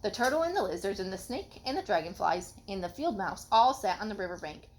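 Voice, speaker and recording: A woman reading a children's story aloud expressively and pausing to ask a child questions in a teacherly way. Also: medium pitch, a little hiss or hum. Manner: expressive, instructive, acts out the dialogue.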